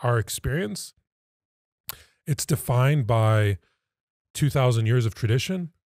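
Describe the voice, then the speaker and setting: A man in his thirties, a podcast guest explaining things conversationally in measured, emphatic phrases. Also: mid-range voice, lively intonation, darkish tone, no room sound, clean studio sound. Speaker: podcast guest, pastoral associate. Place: small indoor podcast studio. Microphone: close studio mic on stand.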